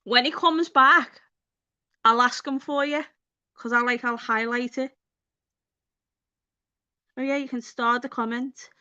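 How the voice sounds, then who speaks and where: A bubbly woman with a Scouse accent chats straight to her live viewers, her high, bright voice full of quick laughs. The sound is dull and lo-fi but dry and close, with no room around it. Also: fairly even intonation, smooth, dry recording. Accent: Liverpool (Scouse). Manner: chatty, bubbly, laughs often, talks to viewers. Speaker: livestream host. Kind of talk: casual live stream chat. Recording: dull lo-fi stream audio.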